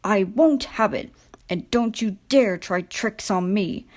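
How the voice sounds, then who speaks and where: A woman's voice: medium pitch, slow, darkish tone, lightly acted. A woman voicing a stern but plucky old male steam engine in a children's storybook, earnest and effortful. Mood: scolding.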